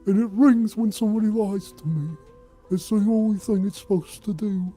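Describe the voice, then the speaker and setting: A man's voice: high-pitched, normal speed, warm tone, faint music behind, voice pushed up. A man voicing a distraught, bewildered creature guard in a tabletop game, stammering and aggrieved. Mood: distressed, pleading.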